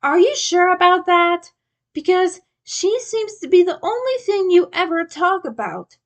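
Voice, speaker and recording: A woman's voice: very high voice, animated, unhurried, voice pushed up, full character voice. A woman reading the lines of a playful, teasing young friend in a fan story. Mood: skeptical.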